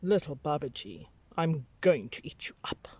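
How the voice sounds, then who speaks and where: A woman voicing a menacing, boastful tiger for a children's storybook, with slow, partly whispered threats. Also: deep voice, sing-song, darkish tone, husky, full character voice.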